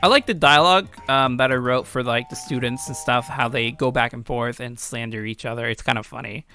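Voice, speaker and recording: A man's voice: medium pitch, brisk, sharp tone, faint music behind. A man giving casual commentary on his own game as its creator, informal and rambling, often correcting himself. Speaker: game creator and video host.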